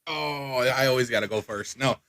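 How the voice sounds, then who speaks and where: A man's voice: mid-range voice, moderate pace, pushed and bright, dry recording, studio quality. A young man co-hosting a podcast, speaking earnestly and reflectively. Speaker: co-host. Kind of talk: casual chat.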